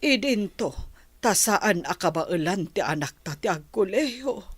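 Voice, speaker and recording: A woman's voice: mid-range voice, expressive, unhurried, breathy edge, no echo. A woman playing a worried, fretful mother of an only child in a radio drama.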